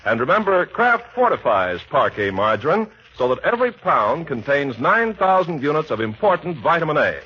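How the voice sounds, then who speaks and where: A man announcing a 1940s radio show in a polished, emphatic sponsor-pitch style. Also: big pitch swings, normal speed, few pauses, bright, forceful tone. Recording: muffled, raspy 1940s radio recording.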